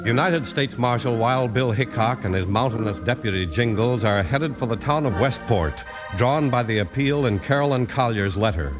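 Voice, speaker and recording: A man's voice: medium pitch, rough recording. A man working as a 1950s radio announcer, reading scripted copy with a polished, emphatic salesman's delivery. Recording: old radio transcription. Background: music.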